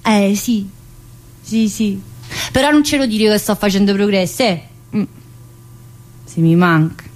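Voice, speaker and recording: A woman playing a chatty, warm-hearted, middle-aged female prison inmate in a theatrical monologue, meandering and full of little "eh"s. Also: medium pitch, unhurried, pauses often, close to an everyday voice. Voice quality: chatty and meandering, studded with "eh" and direct address. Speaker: stage actor in a solo play.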